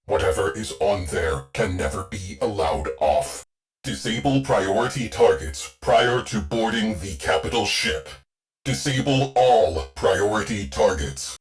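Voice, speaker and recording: A deep-voiced, stoic artificial mind runs a warship in a video game. It issues slow, clipped tactical orders with commanding authority and bone-dry, deadpan wit. Faint music sits behind the voice. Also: male voice. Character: terse, stoic ship AI, male military tactician with dry wit. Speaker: ship AI mission announcer. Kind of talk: scripted video game mission briefing.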